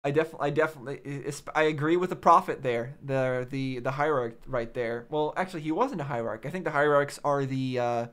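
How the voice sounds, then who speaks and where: A man's voice: mid-range voice, brisk, few pauses, fairly bright tone. A man hosting a reaction video, giving casual, unscripted commentary and repeating phrases for emphasis.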